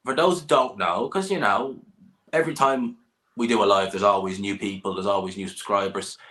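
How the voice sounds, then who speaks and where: A man with a fairly low but bright, forceful voice hosts a casual boxing live stream. He teases his guest, laughs a lot and keeps the chat moving. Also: brisk, poor audio. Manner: jokey, banter-heavy, laughs often. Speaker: boxing channel host.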